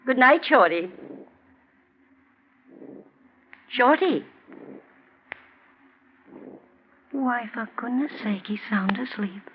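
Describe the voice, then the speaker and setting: An actress playing a gentle, dithering, indulgent old lady in a 1940s radio drama. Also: on the high side, animated, normal speed, lightly acted. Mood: absent-minded, tender. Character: kindly, indulgent old lady, softly amused. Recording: dull, noisy 1940s radio recording.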